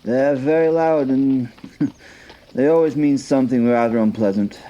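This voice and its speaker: An actor playing a wry, battle-hardened but nervous medieval knight in an audio drama, halting and stammering. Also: male voice, mid-range voice, dark tone, lo-fi sound, natural voice. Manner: stammering, hesitant, chuckling.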